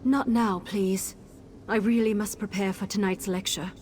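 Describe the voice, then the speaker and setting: An actress playing a haughty, impatient scholar mage in a video game, curt, formal and condescending. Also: female voice, mid-range voice, steady tone, a little slow, darkish tone, slight background noise, natural voice. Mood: irritated, dismissive. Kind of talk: video game character dialogue. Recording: game music behind.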